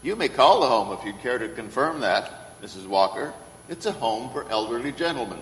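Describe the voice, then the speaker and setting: A man acts a kindly old gentleman Santa in a classic radio play. He speaks gently and earnestly at a middle pitch, in a reverberant hall. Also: expressive, brisk, close to an everyday voice. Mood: gentle, courteous. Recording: echoey hall, distant reverberant stage sound.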